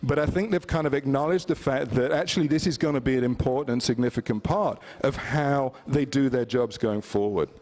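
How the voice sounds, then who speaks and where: A man's voice: mid-range voice, a little fast, few pauses, a little mellow. A man presenting at a conference, rambling with many fillers and restarts. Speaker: conference speaker. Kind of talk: presentation talk. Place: conference hall.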